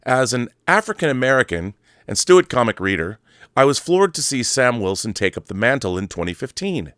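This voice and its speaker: A man's voice: medium pitch, sing-song, fairly quick, a bit bright, dry recording, clean studio sound. A man hosting a solo podcast, talking casually and reading aloud with a theatrical, wordy showman's manner. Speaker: podcast host.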